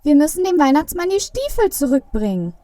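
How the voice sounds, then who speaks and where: A woman playing an excitable, eager little Christmas elf in a children's audio play. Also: sits high, a little fast, dry recording, far from the mic. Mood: keen.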